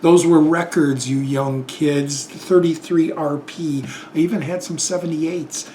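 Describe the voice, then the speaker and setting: A man's voice: on the high side, fairly even intonation, a little slow, dark tone, a little hiss or hum. A man, casually rambling through a tag video with lots of fillers and restarts. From Chicago, Illinois. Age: 50s. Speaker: YouTube channel host.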